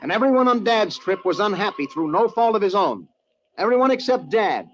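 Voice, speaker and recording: A man's voice: sits high, sing-song, brisk. An actor playing an earnest, stubborn young mountaineer in an old-time radio drama, dramatically telling of his climb. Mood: troubled, insistent. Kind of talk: old-time radio drama acting and first-person narration. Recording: dull, noisy old radio recording.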